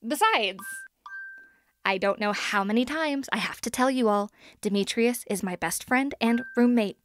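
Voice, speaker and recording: An actress playing a young podcaster and scriptwriter, earnest and unsure of herself, talking in a conversational, hesitant way in a naturalistic audio drama. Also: female voice, fairly high pitch, moderate pace, a little hiss or hum, lightly acted. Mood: amused. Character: Helena, young woman podcaster and scriptwriter, self-doubting, earnest.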